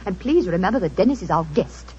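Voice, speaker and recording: An actress playing a genteel, disdainful English wife with an arch, reproving British delivery in a 1940s radio drama. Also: female voice, fairly low pitch, lively intonation, fast talker, a little mellow, natural voice. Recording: muffled, noisy 1940s radio recording.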